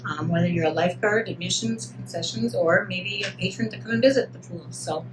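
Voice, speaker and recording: A woman's voice: on the low side, brisk, background noise. A woman from the community pool recruiting lifeguards, talking in a friendly, casual way with lots of filler words. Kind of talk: casual interview answers. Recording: echoey with background ambience.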